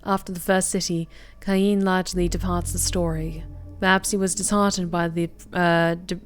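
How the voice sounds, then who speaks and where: A young woman streamer reading a visual novel aloud, with expressive bursts of excited commentary. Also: on the low side, normal speed, faint music behind. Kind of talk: game narration with live reactions.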